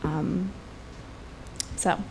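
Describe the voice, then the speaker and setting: A woman's voice: drawn-out words, warm tone, low-quality recording. A woman translator talking informally at a live literary event, hesitant and thinking aloud as she introduces a reading. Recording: live event with clear background noise.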